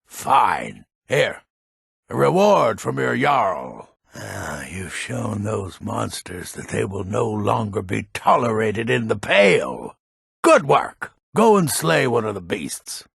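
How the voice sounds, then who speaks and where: A man playing a gruff, grumpy but honourable old Nord hunter in a fantasy video game, with a gravelly, husky voice and a weighty, deliberate manner. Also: fairly low pitch, big pitch swings, a bit bright, no room sound, studio quality, heavily acted. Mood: commanding. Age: older.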